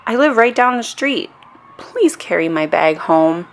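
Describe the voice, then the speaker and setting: A cheeky little storybook boy, acted out by a woman, with a raised pitch that bounces around. He sounds childlike, confident and insistent. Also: expressive, a little slow. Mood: matter-of-fact, cheeky.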